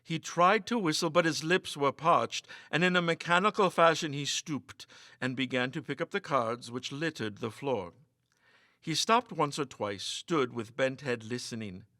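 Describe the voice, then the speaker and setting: A man delivers suspenseful, theatrical story narration. His voice sits at a medium pitch with a sharp, forceful tone. The sound is close and dry. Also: moderate pace, no room sound. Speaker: story reader. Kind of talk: dramatic scripted narration of a ghost story.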